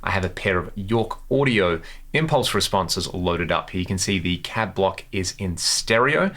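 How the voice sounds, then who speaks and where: Bright-voiced man giving a tutorial. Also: low-pitched, expressive, normal speed. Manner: conversational, explains step by step. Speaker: guitar tone tutorial host. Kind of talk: instructional demo, casual explanation.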